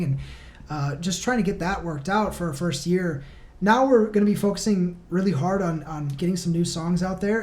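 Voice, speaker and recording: A man's voice: high voice, a little mellow. A man speaking as a band guest in a casual interview, earnest and effusive. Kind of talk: casual chat.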